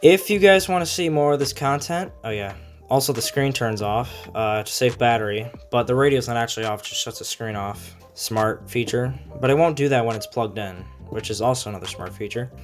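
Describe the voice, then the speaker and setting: A man's voice: mid-range voice, fairly quick, soft background music. Casual man reviewing a gadget. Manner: informal, explanatory. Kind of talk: casual hands-on product review.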